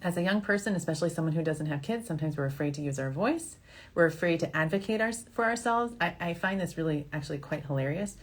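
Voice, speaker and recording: Low-voiced woman, frank advice-show host. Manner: conversational, frank, reassuring. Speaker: fertility doctor hosting a question-and-answer show. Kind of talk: informal advice talk, answering viewer questions.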